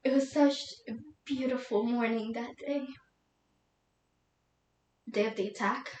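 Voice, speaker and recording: A woman playing a grieving young widow in a solo dramatic monologue, emotional and halting, tearful and doubting God. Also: on the high side, steady tone, a little mellow, voice pushed down, close to an everyday voice. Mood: subdued sorrow. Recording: echoey room.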